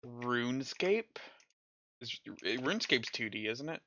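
A man's voice: mid-range voice, expressive, slow pace, pushed and bright, highs cut off, slight background noise. A man streaming a game, chatting casually and mocking himself, with a slight stutter at times. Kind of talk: casual chat while playing a game.

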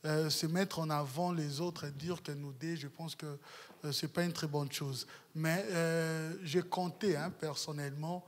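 A man's voice: a little high, steady tone, a little slow. A man in his thirties at a press conference panel, holding a handheld mic and answering questions in a rambling way. His voice drops to murmurs, then rises, and he sometimes half-sings phrases. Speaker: project representative at a press conference. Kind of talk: answering questions, mixing French and Lingala. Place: hall with bookshelves, seated at a panel table.

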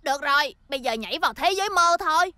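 Plucky little boy hero, high bright voice, really animated, cartoon dub. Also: fast talker, no echo. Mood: determined.

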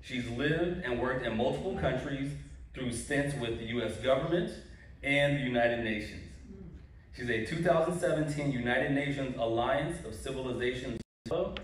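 A man's voice: mid-range voice, slight background noise. Man reading a formal introduction in a hall. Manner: measured, reading a prepared introduction. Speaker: presenter introducing award recipients. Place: large indoor hall, to a seated audience. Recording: echoey hall from far off.

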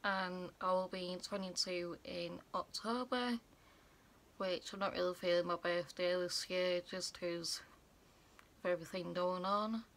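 A woman of twenty-one chatting casually to a phone camera in her bedroom as a vlogger, relaxed with many fillers. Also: lowish voice, unhurried. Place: small bedroom indoors.

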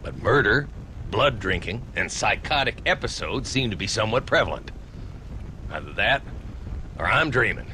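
An actor playing a tough, weary old frontier marshal in a Western video game, gruff and dryly sarcastic with an American Western accent. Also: male voice, fairly low pitch, lively intonation, normal speed, pauses often, sharp tone, a little hiss or hum, low-quality recording. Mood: dry, sardonic. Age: older. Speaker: town marshal.

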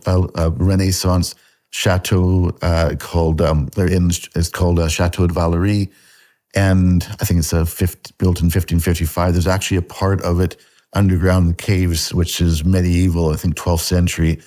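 A man's voice: low voice, a little mellow. A man in his fifties from Canada, with a Canadian accent tinged with Scottish, chatting casually on a video call, rambling with lots of fillers and restarts. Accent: Canadian with a slight Scottish tinge. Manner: rambling, many fillers and restarts, laughs often. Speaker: guest artist. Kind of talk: casual interview chat. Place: home room at a desk. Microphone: video call webcam.

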